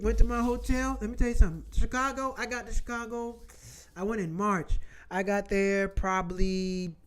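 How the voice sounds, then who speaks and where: Rambling, salty host from Florida. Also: medium pitch. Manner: rambling, salty, talks in quick asides. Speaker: show host. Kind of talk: casual chat with viewers.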